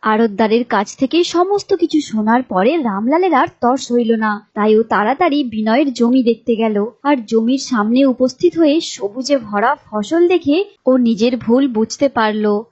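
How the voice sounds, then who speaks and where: A woman's voice: on the high side, animated, a little slow, a little mellow. A woman reading a scripted moral folk tale as storyteller. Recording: dull lo-fi sound with music behind. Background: clear background music.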